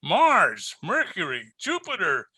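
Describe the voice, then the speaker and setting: A man's voice: wildly swooping pitch, slow, sharp tone, slightly rough, dry recording, full character voice. A man playing a relentlessly cheerful, slick salesman in an old-time radio comedy. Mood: gleeful, selling. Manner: hearty, slick sales pitch. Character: relentlessly cheerful, enthusiastic floor wax salesman.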